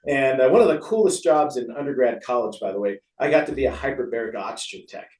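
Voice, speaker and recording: Male American interview guest, physician type. Casual, meandering, self-effacing explainer, mid-pitched, recorded at a distance with room echo. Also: quick pace, darkish tone. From San Francisco, USA. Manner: rambling, chatty, self-deprecating. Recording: roomy echo, distant from mic.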